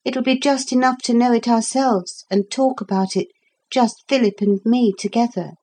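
A woman voicing a plucky, calm, unafraid young girl in a children's story. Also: on the high side, normal speed, slightly rough, pitched above the performer's own voice. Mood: thoughtful.

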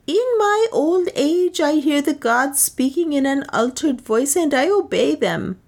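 A woman voicing a cunning old male high priest in an audiobook, preaching in solemn, drawn-out, bitterly satirical phrases. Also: a little mellow, heavily acted. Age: older. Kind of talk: theatrical audiobook character speech.

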